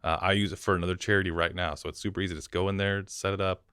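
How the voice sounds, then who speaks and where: Male podcast co-host. Very low register, rapid casual delivery, dry room. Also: flat delivery, a bit bright, clear, resonant tone, dry recording. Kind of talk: casual chat.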